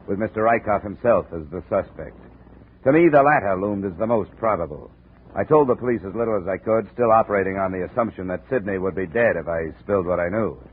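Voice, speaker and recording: An actor playing a tough, terse insurance investigator in a 1950s radio detective drama, clipped and matter-of-fact. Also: male voice, on the low side, husky. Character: tough, terse male insurance investigator narrating his case. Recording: muffled, noisy 1950 radio recording.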